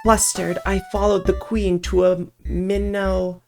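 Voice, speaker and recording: A woman's voice: medium pitch, level tone, slow, creaky, darkish tone, soft background music. A woman reading a visual novel aloud as narrator, with casual asides.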